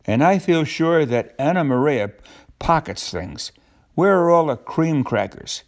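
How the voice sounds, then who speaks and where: A man's voice: on the high side, lively intonation, unhurried, pitched above the performer's own voice. An older man voicing a grumbling, self-assured tomcat shopkeeper from a children's storybook in blunt, formal phrases. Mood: suspicious.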